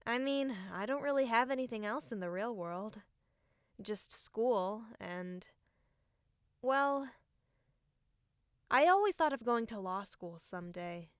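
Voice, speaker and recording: A woman's voice: fairly high pitch, lively intonation, takes it slowly, long pauses, clear, resonant tone, dry recording. Woman playing a guarded young swordswoman, dry sound. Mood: guarded, earnest.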